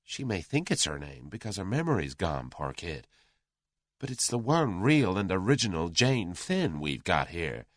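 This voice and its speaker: A man voicing a brash, confident young American man with a brisk, folksy drawl. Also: sits low, sing-song, no room sound, clean studio sound. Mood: wry, urgent.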